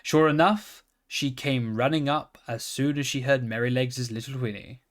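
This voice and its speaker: A man reading a children's storybook aloud in a calm, steady manner. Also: mid-range voice, moderate pace. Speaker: reader.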